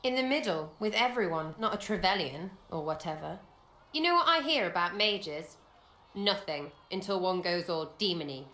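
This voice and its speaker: A cheeky, mocking elf rogue. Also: female voice, lowish voice, animated, normal speed, sharp tone, reverberant. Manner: mocking, blunt, playful. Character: cheeky irreverent young female elf rogue. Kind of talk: game cutscene dialogue.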